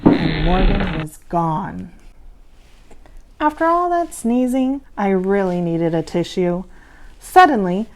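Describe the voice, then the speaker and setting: Female children's story narrator, theatrical and suspenseful, fairly high voice, highly animated. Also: rough recording. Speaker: storyteller, host of children's readings.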